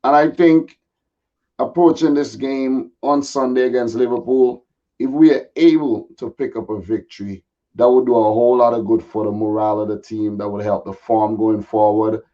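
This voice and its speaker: Male football guest, casual chat, slightly raspy, laid-back, many verbal fillers, muffled lo-fi recording. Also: mid-range voice, steady tone, normal speed, mellow. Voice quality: a little raspy. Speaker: guest football pundit, Manchester City fan. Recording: dull, lo-fi audio.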